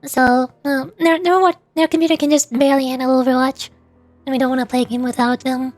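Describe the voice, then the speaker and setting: A woman with a high, light voice talks casually into a desk mic as a streamer. She rambles a little, stopping and restarting her sentences. Soft music plays faintly underneath. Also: fairly even intonation, no echo. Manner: chatty, halting with fillers and restarts. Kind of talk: casual chat.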